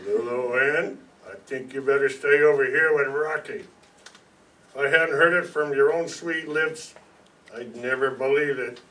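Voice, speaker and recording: An actor playing a hurt, menacing ex-convict husband in a radio noir, recorded in a hall. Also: male voice, mid-range voice, a little slow, sharp tone. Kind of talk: 1950s radio noir acting. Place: stage in an indoor meeting hall, live reading. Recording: distant mic in a hall.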